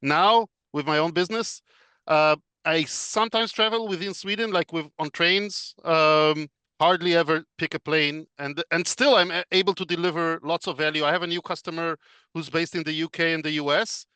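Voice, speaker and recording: Man as interview guest on a video call, measured and explanatory, bright, forward tone, dry close sound. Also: on the high side, fairly even intonation, clear, resonant tone, dry recording. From Israel. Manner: measured and explanatory, with frequent fillers. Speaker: podcast guest, business consultant. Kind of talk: casual interview chat.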